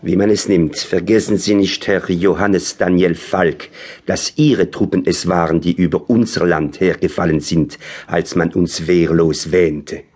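A courtly general with a slight French accent. His voice is lowish and husky, his speech measured and unhurried, as in a radio drama. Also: steady tone, darkish tone. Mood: cool, guarded. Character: courtly, composed short stout French general. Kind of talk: radio play acting.

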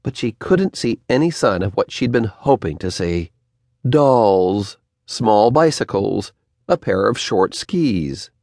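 A man reads a children's story in a low voice. His delivery is lively and swooping, with deliberate emphasis on key words. The sound is dry, with hardly any room in it. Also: creaky, no room sound. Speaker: audiobook narrator. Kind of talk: scripted children's story narration.

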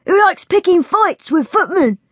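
A woman's voice: very high voice, big pitch swings, normal speed, a bit bright, no echo, clean studio sound, voice pushed up, heavily acted. A woman voicing a cheerful, cheeky young servant lad in a storybook, with a chirpy manner. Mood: cheerful, teasing.

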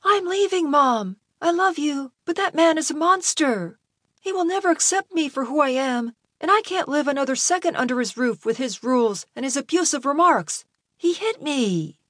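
A woman's voice: high voice, animated, normal speed, fairly bright tone, no echo, clean studio sound, pitched above the performer's own voice. A woman voicing an anguished, emotional young man pleading with and defying parents in an audiobook story. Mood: hurt, resolute.

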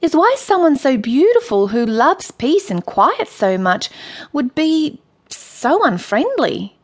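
A woman voicing a confident young sea-child girl in a children's story, speaking politely and flatteringly. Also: high voice, takes it slowly, voice pushed up, exaggerated voice. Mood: earnest, questioning.

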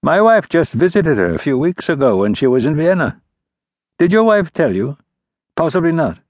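A scheming, mocking ambassador prince of about forty, as a male narrator puts him on. His voice sits high and husky, swinging with lively, lofty scorn. The delivery is dry and close. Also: normal speed, dry recording.

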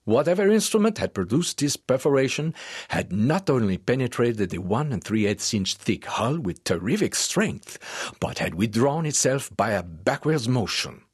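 An actor playing a French professor of natural history, narrating a dramatized audiobook in a formal, measured way. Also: male voice, mid-range voice, big pitch swings, breathy edge, no room sound, clean studio sound. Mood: measured, intrigued.

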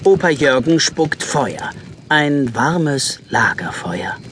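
A man's voice: medium pitch, sing-song, slow pace, noisy background. A man narrating a children's audio story in a suspenseful, dramatic manner. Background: music.

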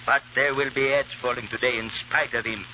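An actor playing a chatty, jovial, bloodthirsty French barber with theatrical relish in an old radio drama, on a dull, hissy recording. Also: male voice, mid-range voice, fairly even intonation, a little fast, lightly acted. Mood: boastful, chatty.

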